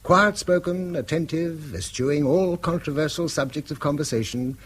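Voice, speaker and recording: An actor playing a proud, hard-up middle-aged English schoolmaster and husband in a radio drama, earnest, persuasive and slightly declamatory. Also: male voice, on the high side, moderate pace, a bit bright, breathy edge. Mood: playful, persuasive. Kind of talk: British radio drama acting.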